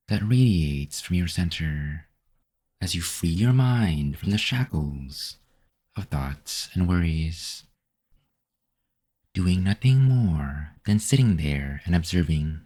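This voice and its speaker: A man leading a guided meditation in a calm, measured, soothing manner. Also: sits low. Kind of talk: slow guided meditation narration.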